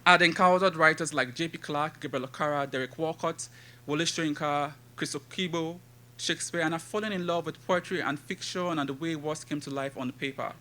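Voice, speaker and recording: Bright-voiced Nigerian man reading poetry. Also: on the high side, fairly even intonation. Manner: measured, rhythmic recitation with listed phrases. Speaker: conference speaker and poet. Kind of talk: academic talk with poetry reading.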